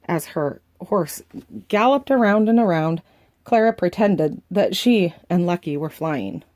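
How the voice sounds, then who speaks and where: A woman reading a children's picture book aloud in the expressive manner of a grandmother reading to kids. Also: fairly low pitch, unhurried, dry recording. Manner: expressive, acting out the dialogue.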